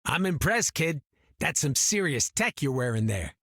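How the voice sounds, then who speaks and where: Small cheeky raccoon creature, raspy, forceful, streetwise, greedy, video game. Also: male voice, a little high, big pitch swings, moderate pace, dry recording, clean studio sound, exaggerated voice. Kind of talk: video game character dialogue.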